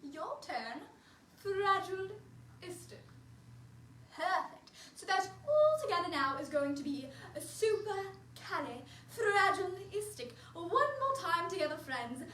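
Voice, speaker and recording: A woman's voice: extremely high pitch, very animated, slow, pushed and bright, a little hiss or hum, full character voice. A woman playing a cheerful, prim nanny, speaking in an encouraging sing-song way to unseen children. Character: cheerful prim female nanny, young adult. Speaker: costumed character performer for children. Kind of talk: in-character children's activity video. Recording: roomy sound from a distant mic.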